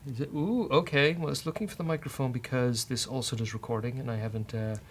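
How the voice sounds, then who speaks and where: A man's voice: mid-range voice, quick pace, slight background noise. A man hosting a casual programming walkthrough, thinking aloud in a wry, hesitant way. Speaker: host, programming instructor. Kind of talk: casual live-coding walkthrough.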